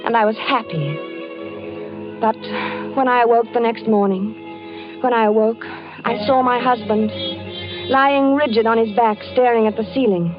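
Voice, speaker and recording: Woman doing a nervous radio-drama wife, kinda high pitched, muffled old broadcast with music under it. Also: unhurried, long pauses, natural voice. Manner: anxious, coaxing. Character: worried devoted wife narrating, Bess. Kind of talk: 1940s radio drama acting.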